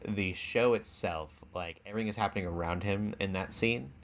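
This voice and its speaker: Male podcast co-host, lower-pitched, relaxed conversational delivery, some background noise. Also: fairly quick. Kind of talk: casual chat.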